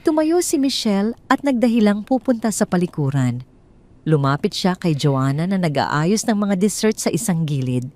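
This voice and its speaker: A Filipino woman narrates a scripted story. Her voice sits a little low for a woman, and she delivers the text as dramatic storytelling. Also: very animated, moderate pace, warm tone.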